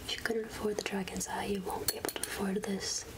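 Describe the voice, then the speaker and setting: A woman playing a secretive woodland witch who sells potions, whispering softly and intimately in an ASMR roleplay. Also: mid-range voice, flat delivery, lightly acted. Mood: persuasive, confiding. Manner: slow, deliberate, intimate, addressing the listener as darling. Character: Agatha, secretive woodland witch selling potions, coaxing and darkly kind.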